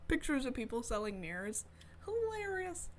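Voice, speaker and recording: A woman's voice: sits high, big pitch swings, slow, darkish tone, slight background noise. A woman reading a visual novel aloud expressively, adding playful, wry asides in casual commentary as a streamer. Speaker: let's-play streamer.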